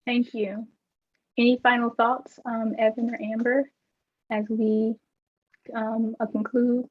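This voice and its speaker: A woman's voice: a little high, level tone, slow pace, narrow, tinny audio. A woman acting as moderator, politely guiding and closing a panel session.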